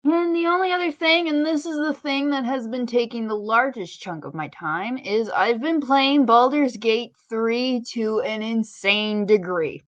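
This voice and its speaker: A woman's voice: on the high side, a little slow, few pauses, dry recording, muffled recording. A woman co-hosting a casual podcast, chatty and opinionated, with wry, rambling asides. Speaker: podcast co-host.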